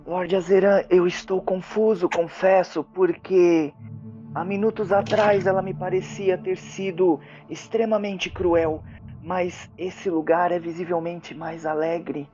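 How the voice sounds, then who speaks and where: Man playing a slow, high-voiced, hesitant spirit medium. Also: little pitch movement, warm tone, dull audio, faint music behind. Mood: confused, worried. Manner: formal, earnest, hesitant. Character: Gwyn, hesitant formal medium who hears spirits.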